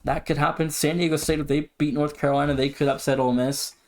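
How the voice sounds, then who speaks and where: A man's voice: on the high side, level tone, quick pace, a little mellow. A man co-hosting a sports podcast, commenting casually with an announcer-like, emphatic way of repeating himself. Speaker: podcast co-host.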